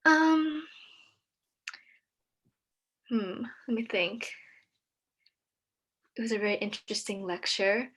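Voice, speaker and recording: A young woman from Texas whose first language is Vietnamese, speaking hesitantly with many pauses as a guest in a casual conversation. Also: a little high, expressive. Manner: hesitant, many ums, soft-spoken.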